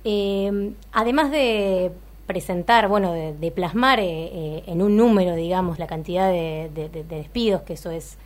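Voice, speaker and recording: A woman's voice: fairly low pitch, moderate pace. A woman with an Argentine accent interviewing on the radio in hesitant, broken phrases. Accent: Argentine, Rioplatense.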